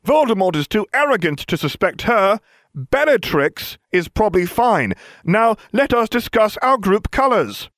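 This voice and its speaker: A man's voice: sits high, big pitch swings, a little slow, fairly bright tone, no echo, clean studio sound, pitched above the performer's own voice, full character voice. A man voicing a theatrical young male wizard who speaks in a pompous, self-important way.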